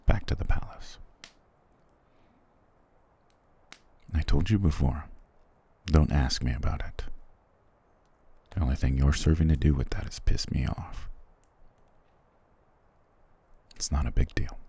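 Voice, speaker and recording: Man acting a very deep, gravelly, cruel soldier prince. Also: normal speed, dark tone, heavily acted. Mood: irritated, guarded. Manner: curt, dismissive, condescending. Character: cruel, prickly, proud young soldier prince, guarded and weary. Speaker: voice actor in audio roleplay. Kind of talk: ASMR fantasy roleplay acting.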